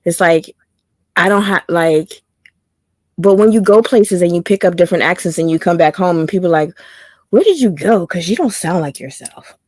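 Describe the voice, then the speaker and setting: A woman's voice: fairly low pitch, normal speed, darkish tone, dry recording. A woman chatting casually, rambling and often restarting her sentences. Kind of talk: casual chat.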